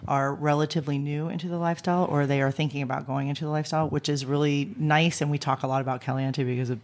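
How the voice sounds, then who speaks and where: Hoarse, bubbly woman podcast host. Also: low-pitched, steady tone, fast talker. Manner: bubbly, rambling, laughs often. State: hoarse from yelling over bands. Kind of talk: casual chat. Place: resort poolside, outdoors.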